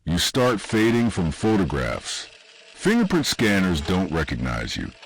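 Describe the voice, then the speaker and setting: Deep guy doing creepy horror narration, really slow and deliberate, bit of noise behind him. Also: wildly swooping pitch. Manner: ominous, deliberate, short dramatic phrases with pauses. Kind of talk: scripted second-person analog horror narration.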